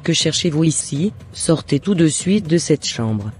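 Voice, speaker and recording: Man voicing a mellow, higher-pitched troubled mother. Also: sing-song, a little slow. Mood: alarmed, indignant. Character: remorseful mother, weary and frightened, seeking God's forgiveness. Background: faint music.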